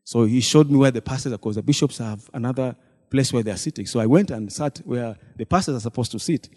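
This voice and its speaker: A man speaks to a church from the front, retelling a meeting and slipping into the voices of the people in it. His voice is mellow and mid-pitched. A long reverb trails each phrase through the big hall. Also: fast talker. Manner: storytelling, acting out quoted dialogue. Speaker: deacon addressing a church congregation.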